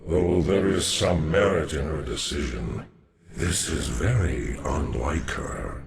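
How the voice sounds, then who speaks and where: An actor playing a solemn, noble alien dark warrior in a video game, speaking formally in a gravelly voice. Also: male voice, exaggerated voice. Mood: grave, steady. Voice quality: very deep, gravelly. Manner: slow, formal, measured. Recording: loud music behind the voice. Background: loud game music.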